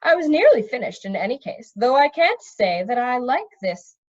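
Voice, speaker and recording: A woman's voice: a little high, sing-song, normal speed, dull audio. A woman voicing a fussy, learned male magician who speaks in precise, pedantic phrases in a storybook reading. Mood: pedantic explaining.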